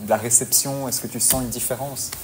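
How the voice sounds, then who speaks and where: A man's voice: medium pitch, steady tone, fairly quick, a little hiss or hum. A man interviewing a judo coach, who often repeats words as he frames his questions.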